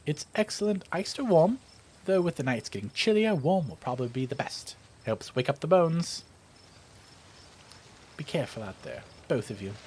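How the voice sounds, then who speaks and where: A man's voice: on the high side, lively intonation, normal speed, darkish tone, breathy edge, voice pushed up. A man voicing a kindly but ominous old woman in a visual novel, genteel, old-fashioned and portentous. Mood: kindly, fussing. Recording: music playing behind the voice.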